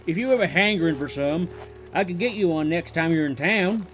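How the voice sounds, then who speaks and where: A man's voice: on the high side, expressive, brisk, loud music behind the voice, natural voice. A man voicing a friendly young male cowboy pony in a fan audio drama, with a polite, folksy drawl.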